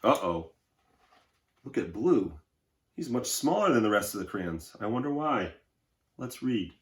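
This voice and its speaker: Guy teacher talking to little kids, reading and explaining, encouraging, very up and down pitch, echoey. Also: very animated, measured pace. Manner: instructive, encouraging, addresses children directly. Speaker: elementary school teacher. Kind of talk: read-aloud and lesson for children. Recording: roomy, echoey indoor sound.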